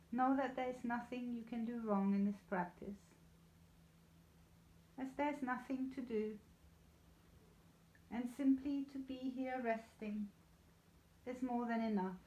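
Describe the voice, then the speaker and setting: Mellow woman guiding relaxation, roomy sound. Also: a little high, slight background noise. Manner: soothing, unhurried, gently reassuring. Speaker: yoga nidra guide. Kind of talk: guided relaxation meditation. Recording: slightly dull, echoey room sound.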